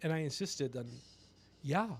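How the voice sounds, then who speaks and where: A man hosting a livestream, rambling comedically with blunt, profane, teasing banter and a creaky voice. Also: on the high side, animated, unhurried. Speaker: podcast livestream host.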